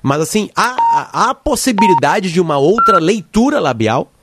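A man hosting a live interview with a southern Brazilian accent from Rio Grande do Sul, haltingly repeating words as he forms his questions. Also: high-pitched, sing-song, very noisy. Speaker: radio show host.

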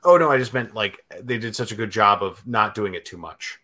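A man's voice: lowish voice, brisk, bright, forceful tone. A man co-hosting a casual film chat, speaking hesitantly with many ums and restarts. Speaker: podcast and stream co-host.

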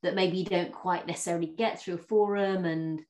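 A woman's voice: on the low side, fairly even intonation, brisk, echoey room. A woman hosting an interview podcast, chatty and talking with lots of fillers and restarts. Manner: chatty, warm laughs, many fillers and restarts. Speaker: podcast host. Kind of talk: interview conversation.

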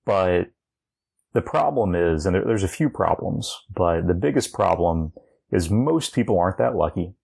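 Male podcast co-host. Deep, fry-laden voice, conversational, opinionated and explanatory. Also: expressive, moderate pace, darkish tone. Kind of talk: casual chat about video game news.

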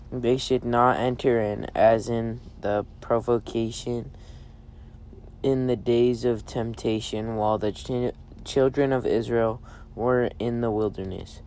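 Guy reading scripture out loud, slow and a bit halting, car noise behind him. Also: mid-range voice. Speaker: family scripture reader. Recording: loud vehicle and road noise. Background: car and vehicle noise.

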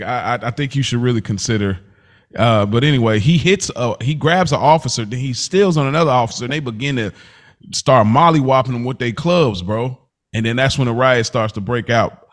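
A man hosting a podcast, casually recapping a TV show in slangy, informal storytelling. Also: mid-range voice. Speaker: podcast host.

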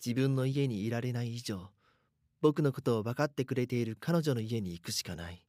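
A man's voice: mid-range voice, lively intonation, brisk, warm tone, dry recording, studio quality, close to an everyday voice. A man playing a haunted, frightened schoolboy narrator. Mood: tense, hushed. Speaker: voice actor narrating a drama. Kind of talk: first-person scripted horror narration.

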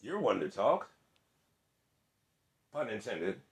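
A man co-hosting a casual chat, brash and joking with crude banter, who calls his voice monotone. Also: lowish voice, slow pace, creaky, echoey room. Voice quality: monotone delivery. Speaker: podcast co-host.

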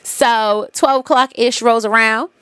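A woman's voice: fairly high pitch, steady tone, unhurried, fairly bright tone, clean tone, no room sound. Congested woman, candid and profane. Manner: candid, profane, loose retelling with many fillers. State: congested nose. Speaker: podcast host. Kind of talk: casual storytelling monologue.

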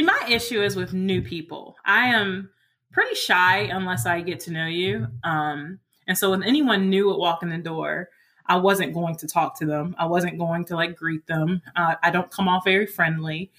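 Bright-voiced woman in her thirties. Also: fairly low pitch, normal speed. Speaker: podcast co-host. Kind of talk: casual personal podcast chat.

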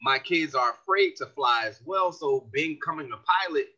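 A man, a community organization leader, explaining his views as a guest in a podcast interview, animated and earnest. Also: on the high side, normal speed, breathy edge, dull audio.

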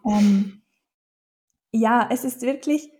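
A woman's voice: a little high, drawn-out words, darkish tone, slightly rough. A woman from German-speaking Switzerland with a Swiss accent, a dialect teacher answering interview questions thoughtfully, with many fillers and restarts.

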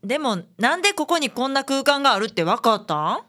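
A woman's voice: high voice, big pitch swings, fast, bright, forceful tone, full character voice. A woman playing a practical, breezy detective's assistant in a radio drama, with a Kansai accent. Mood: inquiring. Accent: Kansai Japanese.

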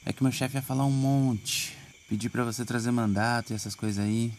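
Park night watchman played by a man: Brazilian, gravelly mid-range voice, evasive, colloquial, noisy ambience. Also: mid-range voice, steady tone, a little fast, darkish tone, lightly acted. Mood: reluctant, evasive. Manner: hedging, colloquial. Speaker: voice actor. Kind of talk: audio drama acting.

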